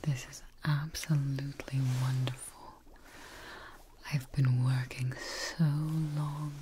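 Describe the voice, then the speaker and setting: Woman acting a slow whispering devoted scientist. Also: extremely low pitch, flat delivery, noisy background. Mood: tender, awed. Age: young adult. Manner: slow, breathy, gentle, pausing. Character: devoted, tired woman scientist tending her creation. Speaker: ASMR performer. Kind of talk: soft-spoken whispered ASMR roleplay. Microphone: close ASMR microphone.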